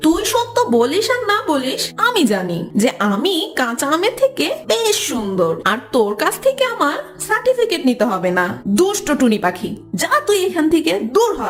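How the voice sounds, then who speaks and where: A woman voicing an arrogant, quick-tempered ripe mango with a haughty manner in a children's cartoon. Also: high-pitched, huge pitch swings, faint music behind. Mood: haughty, angry. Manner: haughty, scornful, scolding.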